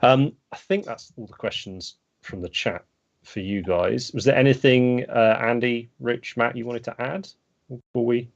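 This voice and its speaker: A man's voice: mid-range voice, steady tone, measured pace, vocal fry. A man from the UK hosting a podcast, chatting casually and rambling with many fillers. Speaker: podcast host. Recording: dull lo-fi remote audio.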